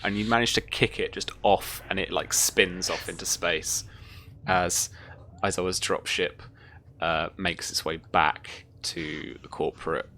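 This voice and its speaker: A game master narrating a tabletop roleplaying session in a casual, improvised, halting way. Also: low-pitched, expressive, vocal fry, bright, forceful tone.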